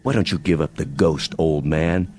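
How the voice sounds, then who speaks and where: A man's voice: very deep voice, normal speed, a little mellow, slight background noise. An actor playing a cocky, mocking army major in a radio drama, sarcastic and theatrical in his taunts. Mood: taunting. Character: cocky, mocking military major, a swaggering intruder. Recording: radio drama with music underneath.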